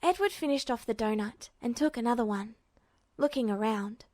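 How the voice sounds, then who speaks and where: A woman reading a fan fiction story aloud with steady narration. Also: a little high, normal speed, dry recording, studio quality. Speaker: podfic reader.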